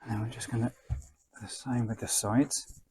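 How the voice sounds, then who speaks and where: A man giving a quiet, casual hands-on ASMR demonstration, murmuring to himself as he works and at times nearly whispering. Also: mid-range voice, flat delivery, moderate pace, husky. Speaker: presenter of a restoration demonstration.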